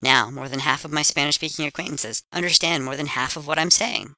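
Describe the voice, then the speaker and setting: A man's voice: a little high, a little fast, pushed and bright, no echo. A man voicing a satisfied language student who earnestly reads out a scripted testimonial. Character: contented adult language learner giving a testimonial.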